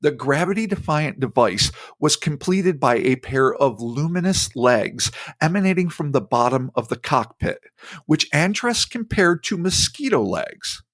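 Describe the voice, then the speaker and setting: Male podcast host, New Jersey accent, animated reading voice on the higher side, dry close mic. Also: a little slow, no room sound. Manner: lively storyteller, breaks off into joking asides. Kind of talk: reading a story aloud with casual banter.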